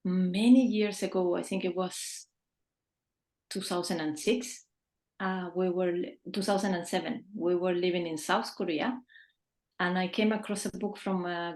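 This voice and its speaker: Chilean-born woman in her fifties, lowish voice, earnest interview guest on a call, roomy echo. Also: steady tone, moderate pace, darkish tone. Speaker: feng shui consultant, guest. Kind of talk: casual interview answers.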